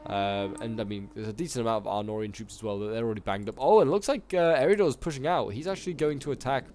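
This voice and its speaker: A man with a sore throat, slightly hoarse, giving casual, rambling commentary on a strategy game battle with lots of fillers. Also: medium pitch, fast, darkish tone. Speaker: gaming YouTuber casting a multiplayer battle.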